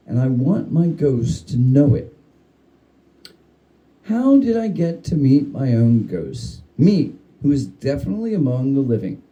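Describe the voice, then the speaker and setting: Very mellow man reading a story dramatically. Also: sits high, low-quality recording. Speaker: story reader.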